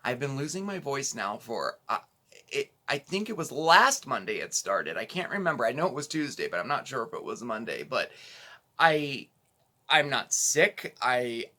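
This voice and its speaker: A middle-aged man hosting a casual home cooking channel, chatting and rambling to viewers with many fillers, his voice a little hoarse because he is losing it. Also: mid-range voice, sing-song, normal speed, bright, forceful tone.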